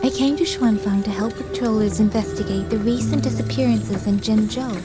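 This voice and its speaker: A woman voicing an earnest, courteous young ranger in a video game, speaking in measured, formal phrases. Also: mid-range voice, natural voice. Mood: grave, recounting. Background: prominent game music.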